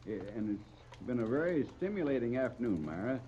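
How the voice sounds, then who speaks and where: Old radio drama: male actor as folksy, bashful middle-aged uncle, very animated pitch, mellow tone, hesitant and stammering. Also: fairly quick, lightly acted. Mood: genial. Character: bashful, flustered older uncle, folksy and kindly. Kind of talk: 1930s radio serial acting. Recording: dull, noisy old radio recording.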